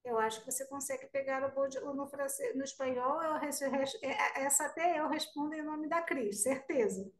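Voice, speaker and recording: A woman's voice: sits high, normal speed, hardly any pauses. A Brazilian woman guest teacher in a live online class, answering student questions in a friendly, direct way. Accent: Brazilian Portuguese. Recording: roomy, distant-sounding mic.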